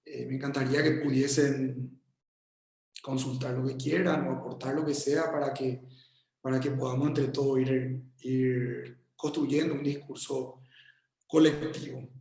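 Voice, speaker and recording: A man with a Paraguayan accent giving a casual remote talk as a guest architect, halting and friendly, often repeating his words. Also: mid-range voice, flat delivery, a little mellow, very echoey. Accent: Paraguayan Spanish. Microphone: video call.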